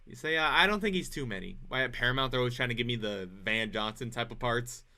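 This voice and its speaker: A man's voice: medium pitch, lively intonation, fast talker, close to an everyday voice. A man playing a wisecracking 1940s radio comedian and master of ceremonies, with quippy, stagey patter. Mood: joking, quippy. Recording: noisy live stage, audience sounds.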